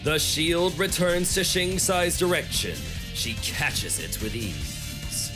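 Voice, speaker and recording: A man's voice: on the high side, huge pitch swings, slow pace. A man narrating a scripted action story in a dramatic style. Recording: music under the voice.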